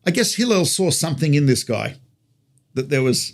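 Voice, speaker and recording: An Australian man teaches as a rabbi in a conversational, storytelling way. His voice sits a little high for a man and has a clear gravelly fry. He poses rhetorical questions and repeats key phrases for emphasis. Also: sing-song, normal speed.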